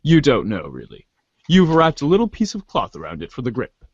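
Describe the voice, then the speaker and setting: A man's voice: medium pitch, wildly swooping pitch, fairly quick, husky, highs cut off. A man giving an amateur dramatic reading of narration, theatrical in delivery and now and then stumbling over the text. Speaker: narrator reading a webcomic aloud.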